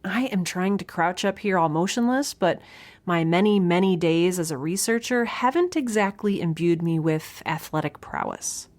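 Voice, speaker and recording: A woman's voice: lowish voice, moderate pace, a little mellow, natural voice. An actress playing a young researcher alone on a spaceship, chatting into her log in a wry, rambling, nervous and self-deprecating way. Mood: anxious, wry. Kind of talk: audio drama, spoken log in a casual chatty manner.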